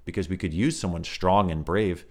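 A man's voice: deep voice, moderate pace, darkish tone, natural voice. A man voicing an eager, earnest little baby kangaroo.

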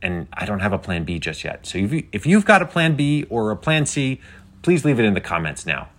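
An excitable schoolgirl livestreamer played by a man, bold and geeky. The voice is lifted high and chatty, addressing an online following in a children's adventure story. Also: brisk. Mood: hushed, anxious. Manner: bubbly, chatty, addressing viewers. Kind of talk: scripted children's audio story.

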